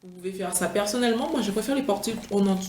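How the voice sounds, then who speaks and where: A woman's voice: mid-range voice, fast talker, a little mellow, a little hiss or hum. Chatty, tired woman in an echoey room. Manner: chatty, playful, gushing with enthusiasm. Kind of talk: casual product-review vlog.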